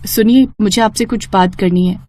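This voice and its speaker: Young wife in a storybook drama woman performer: polite, determined, soft-spoken, mid pitch. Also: moderate pace, slight background noise, natural voice. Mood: earnest.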